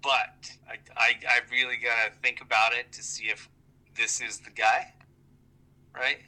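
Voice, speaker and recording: A man's voice: medium pitch, level tone, a little slow, pushed and bright, rough recording. An American man in a casual, profane chat, rambling along with wry self-deprecation. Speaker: golf vlogger and amateur golfer.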